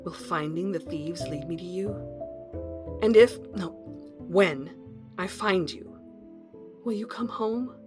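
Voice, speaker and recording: A woman's voice: fairly low pitch, slow, pauses often, a little mellow, faint music behind. A woman playing a questioning wife searching for her missing husband in an audio drama. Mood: wistful, pleading.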